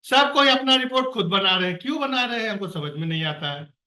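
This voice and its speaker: A man's voice: high voice, animated, a little fast, pushed and bright. A man leading an online staff meeting, urging and pleading with colleagues in an earnest, exasperated way, heard on a video call. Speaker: project coordinator on a staff call. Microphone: computer or phone on a video call. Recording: echoey room, distant from the mic.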